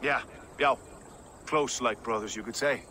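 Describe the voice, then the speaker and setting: A man's voice: medium pitch, measured pace, very noisy, natural voice. A man playing a world-weary warrior in a video game, plain-spoken and a little wry. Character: grim, world-weary male Viking-age city warrior. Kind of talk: video game cutscene acting.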